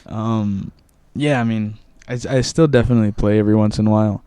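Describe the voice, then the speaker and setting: A man's voice: on the low side, steady tone, fairly quick, mellow, clear, resonant tone, no echo. A man in his twenties, born in Mexico with Spanish as his first language, chatting casually on a podcast and often repeating words as he recalls. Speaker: guest.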